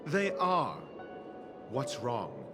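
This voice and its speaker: Slow, haughty, sarcastic scholar. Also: male voice, mid-range voice, wildly swooping pitch, music in the background, exaggerated voice. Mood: scornful, impatient. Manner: condescending, dry, formal diction. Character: haughty, impatient, sarcastic man of refined learning. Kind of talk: video game voice acting.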